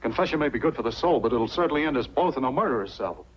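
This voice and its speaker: An actor playing a calculating, persuasive accomplice in a 1940s film noir style. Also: male voice, mid-range voice, expressive, rapid-fire, a little hiss or hum, lightly acted. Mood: urgent persuading. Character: calculating male accomplice, persuasive and self-protective. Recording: muffled 1940s film soundtrack.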